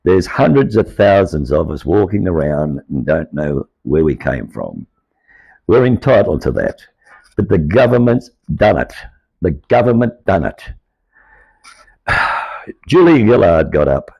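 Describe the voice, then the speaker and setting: An older Australian man with a croaky, aged voice, telling his life story to camera in an earnest, rambling way. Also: a bass voice, very animated, unhurried, pauses often, no echo, muffled recording. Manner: earnest, rambling, grows insistent. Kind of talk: personal monologue to camera.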